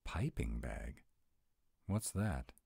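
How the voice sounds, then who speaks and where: A man performs a tsundere male were-dragon in an intimate audio roleplay, with an extremely low voice and an unhurried pace. He grumbles in exasperation yet has a soft, boyish side, and his delivery swings widely in pitch. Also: very animated, creaky, breathy edge, no echo, clean studio sound, full character voice. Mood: reluctant, exasperated. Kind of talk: audio roleplay acting, addressed to the listener.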